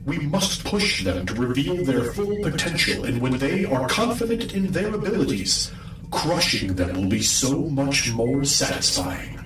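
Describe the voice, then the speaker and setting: Echoing, grandiose villain commander. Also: male voice, medium pitch, big pitch swings, unhurried, a bit bright. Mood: menacing. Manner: grandiose, menacing. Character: vengeful male villain commander plotting conquest. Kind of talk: audio drama acting. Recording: echoing, distant-sounding voice.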